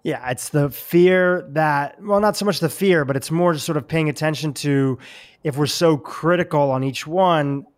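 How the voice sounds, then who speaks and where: A man's voice: fairly high pitch, moderate pace. A man hosting a podcast, asking thoughtful, probing questions in a casual conversation.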